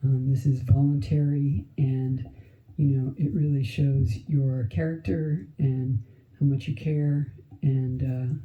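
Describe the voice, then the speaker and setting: A man, a fire chief, giving halting remarks full of fillers and restarts at a public commission meeting. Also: mid-range voice, little pitch movement. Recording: dull lo-fi meeting audio.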